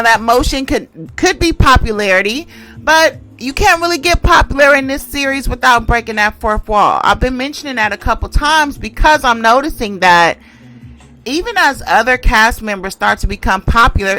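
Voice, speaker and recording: A woman's voice: a little high, expressive, moderate pace, a bit bright, soft background music. A woman giving a casual tarot reading and commentary in a conversational, emphatic way, repeating phrases to drive points home. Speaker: tarot reader and channel host.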